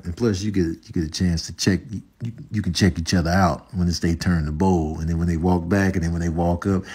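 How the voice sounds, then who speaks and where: A man's voice: fairly low pitch, a little mellow, slightly rough. A man with an American accent giving a casual comedic opinion monologue in conversational slang, repeating phrases for emphasis. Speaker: comedic video commentator.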